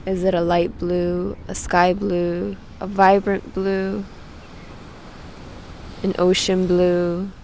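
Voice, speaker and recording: A woman leading a guided meditation, giving soothing instructions with frequent pauses. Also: lowish voice, flat delivery, a little mellow, slight background noise. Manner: slow, pausing, soothing instruction.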